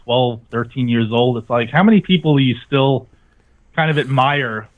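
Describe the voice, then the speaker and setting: A man's voice: mid-range voice, moderate pace. A middle-aged man chatting casually as a guest on a talk show over a call. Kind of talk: casual chat. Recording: tinny phone-like line.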